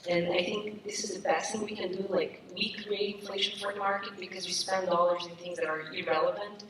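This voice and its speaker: A woman's voice: mid-range voice, fairly even intonation, fairly quick. Brazilian woman on a roomy stage. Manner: earnest, many restarts and fillers. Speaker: panelist. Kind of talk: panel discussion. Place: stage at a summit. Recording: echoey hall, distant mic, noisy.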